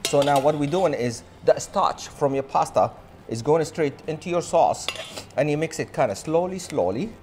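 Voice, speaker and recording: A man's voice: a little high, animated, moderate pace, darkish tone, a little hiss or hum. A man in his thirties presenting a cooking demonstration as a chef, with an enthusiastic, showy manner. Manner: enthusiastic, showy, addresses audience as a showman. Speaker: chef hosting a cooking show. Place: indoor modern studio kitchen. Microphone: lapel mic.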